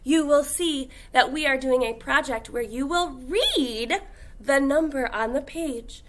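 A young woman teaching kindergarten, reading aloud to small children in an animated, encouraging way with exaggerated delivery. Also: high-pitched, a little slow. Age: young adult. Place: indoors, classroom.

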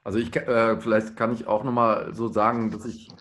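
A man's voice: fairly low pitch, flat delivery, normal speed, a bit bright, slight background noise. A man in his thirties speaking reflectively in a panel discussion, tentative, in long winding sentences. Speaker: pop musician on a discussion panel.

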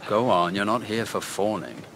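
A man's voice: fairly low pitch, animated, normal speed, background noise, lightly acted. An actor playing a bold, devout crusader king in a historical radio drama, regal and assertive in manner. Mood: confident.

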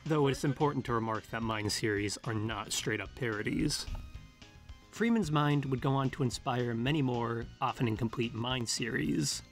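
Male narrator for analytical video essay, formal and measured, mid-pitched, faint music underneath.

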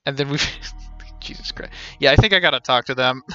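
A man in his thirties streams a game with casual commentary. His voice is bright and forward, though the recording is a little dull. He mutters playfully and repeats himself, with faint game music behind. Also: medium pitch, a little fast, clear, resonant tone. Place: small indoor room with shelves.

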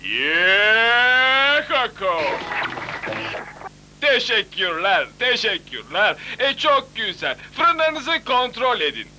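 A man voicing an excitable, grandiose TV contest announcer in a dubbed cartoon, on an old video rip. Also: very high-pitched, extremely slow, heavily acted. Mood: showy. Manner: grandiose showman. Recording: faintly noisy old VCD rip.

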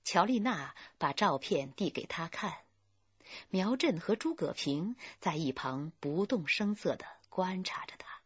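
A woman's voice: lowish voice, lively intonation, unhurried, breathy edge, no echo. A woman giving scripted narration for a radio drama. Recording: muffled band-limited dry studio audio.

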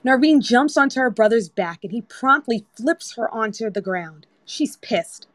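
A woman's voice: on the high side, expressive, moderate pace, no echo. A woman reading scripted screenplay narration as the narrator of a table read. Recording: online group voice-chat app.

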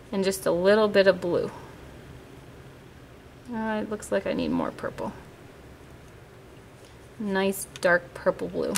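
A woman walks through a watercolor lesson in a calm, patient, step-by-step way, speaking as she paints at a table. Her voice sits at an ordinary middle pitch. A faint hum of room tone stays under her voice. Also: poor audio. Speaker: art teacher. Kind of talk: painting demonstration. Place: indoors at a table, hands-only overhead camera.

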